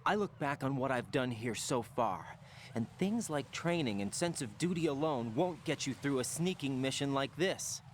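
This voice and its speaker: A man's voice: mid-range voice, lively intonation, normal speed, a little hiss or hum, natural voice. An actor playing an earnest, inquisitive young male operative in a video game cutscene.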